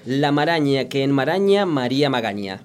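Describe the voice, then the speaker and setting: A man with a Rioplatense Spanish accent hosting an educational broadcast, teasing and bantering quickly with the teacher. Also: mid-range voice, big pitch swings. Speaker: host. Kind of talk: casual educational broadcast chat.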